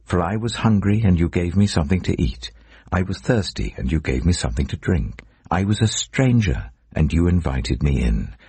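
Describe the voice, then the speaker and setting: A benevolent king, voiced by a male narrator, solemn and stately. His extremely low, gravelly voice moves in measured but animated phrases, as in an audio scripture drama. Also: no echo, exaggerated voice.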